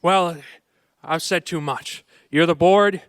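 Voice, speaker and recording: An actor playing an earnest young small-town man with a halting, folksy, stammering delivery in a radio play. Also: on the high side, fairly even intonation, crowd noise. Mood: indignant, earnest. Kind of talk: live radio play acting in an old-fashioned manner.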